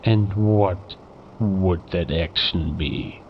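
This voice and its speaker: Male actor as weary bureaucrat, very slow, lowish, terse, sceptical, muffled tape recording. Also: heavily acted. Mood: reluctant. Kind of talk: cinematic audio drama acting. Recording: dull, faintly noisy tape playback.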